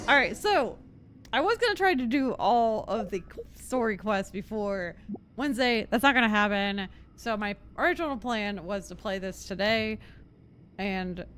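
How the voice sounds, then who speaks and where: Chatty, animated woman game streamer. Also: mid-range voice, slight background noise. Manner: giggly, chatty, thinking aloud. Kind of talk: casual live stream chat. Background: game music.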